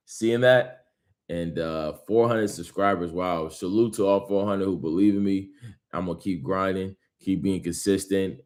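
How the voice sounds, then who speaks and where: Deep-voiced motivational man vlogging. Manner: motivational, rambling, emphatic bursts. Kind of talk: casual live vlog monologue.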